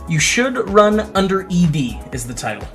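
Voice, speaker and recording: A man's voice: high voice, very animated, moderate pace, a bit bright. Casual, wryly chatty man over music. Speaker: host, poker streamer. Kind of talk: casual commentary while reading old blog posts aloud.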